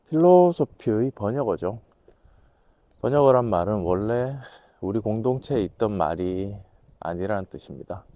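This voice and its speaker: A man with a voice hoarse from a cold, giving a measured, reflective talk to camera, pausing to think as he explains. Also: on the low side, dark tone. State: has a cold. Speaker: host, management consultant lecturer.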